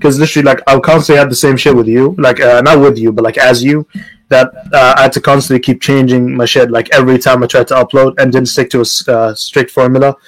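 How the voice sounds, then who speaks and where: A man of middle pitch chats loosely about his channel, filling his sentences with fillers and the odd swear word. He sounds relaxed and informal. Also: quick pace, rough recording. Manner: casual, lots of fillers, swearing. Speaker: YouTuber. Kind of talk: casual group chat.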